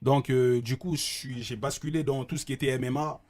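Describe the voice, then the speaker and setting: A man's voice: mid-range voice, fairly even intonation, brisk, a little mellow. A man with a Congolese accent talks as a guest in a loose, joking interview, laughing through his lines and dropping street slang. He's a sports coach telling stories, his voice sitting in an ordinary middle range. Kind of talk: casual interview chat.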